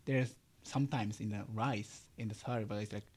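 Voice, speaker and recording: A man's voice: lowish voice, steady tone, moderate pace, a little mellow, slightly rough. A young man with a Japanese accent chatting casually in hesitant, short phrases of non-native English. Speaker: conversation guest. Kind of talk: casual chat.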